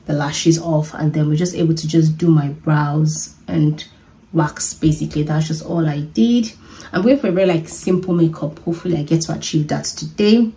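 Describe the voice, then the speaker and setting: A woman's voice: low voice, dark tone, far from the mic, a little hiss or hum, poor audio. A woman, chatting playfully and laughing often as she gets ready at home in her bedroom. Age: 30s. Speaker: vlogger. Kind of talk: casual get-ready-with-me vlog.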